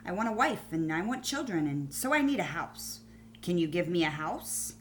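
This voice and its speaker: A woman's voice: on the low side, very animated, normal speed, slight background noise. A woman voicing a needy, demanding boy in a children's storybook, blunt and insistent in manner. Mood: wanting, insistent. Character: needy, demanding boy growing older and wearier.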